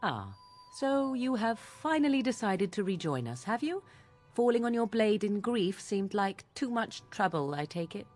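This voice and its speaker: A woman's voice: medium pitch, big pitch swings, normal speed, darkish tone, soft background music. An actress playing a haughty, cutting young witch in a fantasy video game, archly formal and full of mocking disdain.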